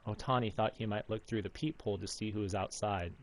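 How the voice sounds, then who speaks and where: Low-voiced man narrating a visual novel. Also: steady tone, fast talker, low-quality recording. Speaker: video game playthrough narrator.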